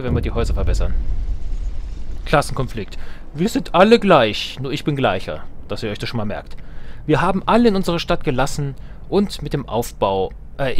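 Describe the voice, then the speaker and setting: Jokey man with lively, swinging intonation. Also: mid-range voice, slight background noise. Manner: jokey, self-ironic, playful asides. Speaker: let's play host. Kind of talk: casual gaming commentary, reading game text aloud. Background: game music.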